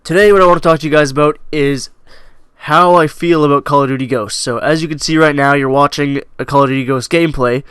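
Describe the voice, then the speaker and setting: A man's voice: a little high, fairly bright tone, smooth, dry recording. Young man talking with a cold. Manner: rambling with many likes and restarts. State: coming down with a cold. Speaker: gaming channel host. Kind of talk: casual game review over gameplay.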